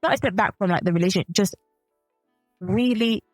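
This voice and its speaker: Zambian-born woman on a podcast, mid pitch, talks it through slowly, restarts a lot, dry sound. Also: dry recording, soft background music. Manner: thoughtful, self-correcting, with frequent restarts. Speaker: podcast co-host. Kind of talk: casual chat.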